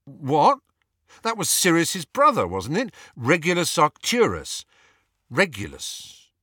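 A startled, blunt young wizard boy, put on by a male narrator. He sits above an adult man's pitch and swings about a lot, incredulous and blurting, in a dry storybook recording. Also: sing-song, normal speed, sharp tone, husky, no echo, heavily acted. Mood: startled realisation.

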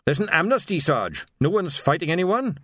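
A man's voice: fairly high pitch, big pitch swings, normal speed, fairly bright tone, slightly rough, dry recording, studio quality, pitched above the performer's own voice, heavily acted. A man voicing a plain-spoken, doubting watchman subordinate in an audiobook, matter-of-fact. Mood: sceptical.

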